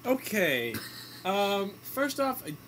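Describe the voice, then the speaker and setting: A man's voice: sits high, very animated, slow pace, a bit bright, rough recording. A young man co-hosting a radio show, chatting casually about movies with opinionated, jokey banter. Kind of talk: casual movie review chat. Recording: amateur recording with background noise.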